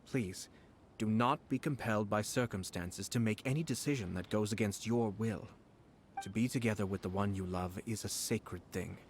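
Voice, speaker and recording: A man playing a composed, courteous young nobleman in a video game, speaking formally and diplomatically in measured phrases. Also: fairly low pitch, slight background noise, close to an everyday voice. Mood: earnest, courteous. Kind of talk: scripted video game voice acting.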